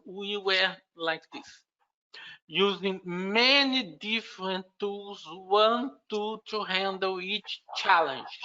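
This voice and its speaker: A man with a Brazilian Portuguese accent walks a remote audience through a technical topic, pausing often with "uh" and restarting phrases. He talks slowly, and his voice has a bright, forward edge. The recording is a bit dull and lo-fi. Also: high voice. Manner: unhurried, halting explanation with frequent "uh" fillers and restarts. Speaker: conference speaker. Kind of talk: technical conference presentation.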